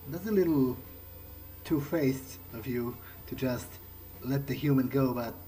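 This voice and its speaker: Slow-paced man, hesitant, thinking aloud. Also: mid-range voice, warm tone. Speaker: gamer playing in character. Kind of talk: in-character game playthrough commentary.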